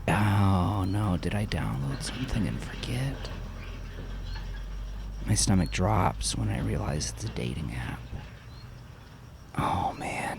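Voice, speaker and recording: A man's voice: lowish voice, creaky, a little hiss or hum. A man narrating a first-person story expressively, acting out the storyteller's reactions as he goes. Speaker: author narrating own horror story.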